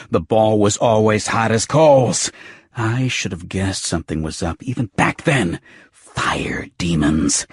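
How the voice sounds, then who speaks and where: A man's voice: low-pitched, slightly rough, no room sound, clean studio sound, full character voice. A man voicing a rambling, bitter cab driver and former football player who mutters crudely, in an audiobook.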